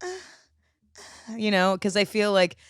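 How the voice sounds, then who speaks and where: A woman with a low voice hosting a podcast, telling crude comic stories in casual chat. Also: normal speed, clean tone, dry recording, clean studio sound. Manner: crude, animated storyteller. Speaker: podcast host.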